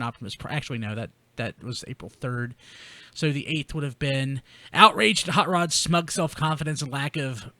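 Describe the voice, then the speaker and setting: A man's voice: mid-range voice, pushed and bright, no echo. A man hosting a casual chat podcast, rambling and correcting himself as he goes. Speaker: podcast host. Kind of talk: casual chat about toy collecting news.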